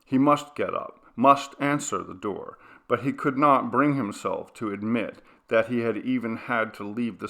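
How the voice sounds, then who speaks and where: Gravelly, forceful male horror narrator. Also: medium pitch. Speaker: audiobook narrator. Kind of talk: dramatic scripted horror story narration.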